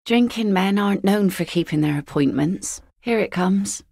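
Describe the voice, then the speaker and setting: A woman voicing an easygoing, philosophical seafaring mother in a video game, with a wry, gently teasing, folksy manner. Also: medium pitch, moderate pace, vocal fry, no echo, clean studio sound. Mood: wry, gentle. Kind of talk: naturalistic video game dialogue acting.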